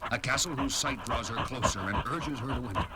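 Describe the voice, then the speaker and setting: A man's voice: medium pitch, fairly quick, heavy background noise. A man narrating a scripted horror story in a theatrical, ominous style, like a 1970s story record.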